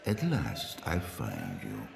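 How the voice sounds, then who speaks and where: An actor playing a stern, menacing disguised stranger in a game cinematic, questioning with cold deliberation. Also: male voice, extremely low pitch, huge pitch swings, gravelly, heavily acted. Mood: cold menace. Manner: deliberate, ominous, coldly probing. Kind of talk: dramatic game cinematic acting. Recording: echoing hall with long reverb.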